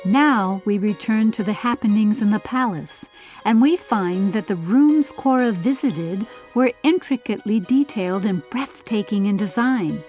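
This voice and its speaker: A woman narrating a scripted fantasy audiobook in a formal, theatrical, measured storybook manner. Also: medium pitch, a little mellow. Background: faint music.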